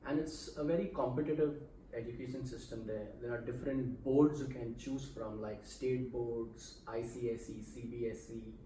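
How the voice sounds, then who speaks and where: A man from Mumbai explains things calmly and in a measured way, pausing often with "uh." His voice is mid-pitched. The mic sits at a distance in an echoey room, with a faint hum underneath. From India, Mumbai. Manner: measured, explanatory, frequent "uh" pauses. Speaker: interview guest. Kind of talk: casual interview.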